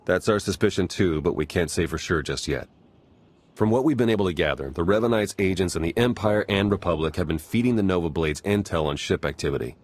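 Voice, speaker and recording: A man's voice: deep voice, lively intonation, brisk. A man playing an easygoing, wry spy in a video game, casual and matter-of-fact. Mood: wry, businesslike. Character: wry, easygoing male spy briefing his team.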